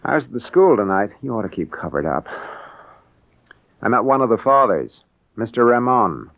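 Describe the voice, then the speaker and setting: A man's voice: medium pitch, very animated, normal speed, vocal fry. An actor playing a mild, earnest middle-aged father with an American accent in an old-time radio drama. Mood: gentle, thoughtful. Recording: tinny, band-limited old radio broadcast.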